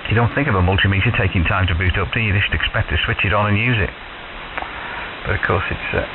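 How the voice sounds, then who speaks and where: A man in a casual technical chat as an amateur radio operator, musing and pausing often, heard through a shortwave radio transmission. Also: fairly low pitch. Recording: hissy narrow-band shortwave radio signal. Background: radio static and hiss.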